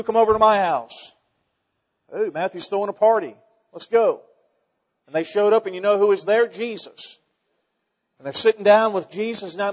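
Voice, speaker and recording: A man's voice: sits high, a little mellow. A man in his forties preaching a fervent sermon to his congregation, full of rhetorical questions and folksy asides. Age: 40s. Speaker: pastor. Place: church sanctuary. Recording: dull sound in a reverberant church hall.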